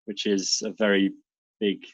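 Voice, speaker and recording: A man born in Serbia and raised in South Wales, speaking with a light British accent as a relaxed interview guest. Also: lowish voice, a little slow, breathy edge, no room sound. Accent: British, light Welsh. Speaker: fitness coach and doctor, guest.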